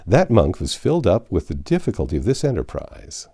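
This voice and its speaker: A man reads a classic novel aloud in a low, soft-edged voice. His storytelling is expressive, with a very animated melody that moves widely up and down. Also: fairly quick, slightly rough. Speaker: audiobook narrator.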